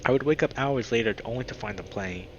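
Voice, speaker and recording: Lo-fi recording of a higher-voiced man. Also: fast. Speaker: video game streamer. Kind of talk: reading visual novel aloud with excited reactions. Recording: dull lo-fi mic with clear background noise.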